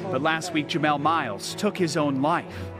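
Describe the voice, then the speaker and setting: A man with a high-pitched voice narrates a scripted news report. Music plays clearly behind his reading. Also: fairly even intonation, moderate pace.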